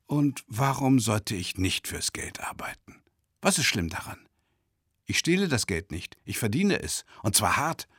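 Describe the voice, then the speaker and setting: An actor playing a tormented, self-doubting man who narrates a radio drama monologue in a brooding, intense way, with a gravelly, husky voice. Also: mid-range voice, very animated, unhurried, fairly bright tone, no echo, studio quality, heavily acted. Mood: anguished, defensive. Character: tormented, self-doubting, impoverished male travelling lecturer. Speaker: first-person narrator.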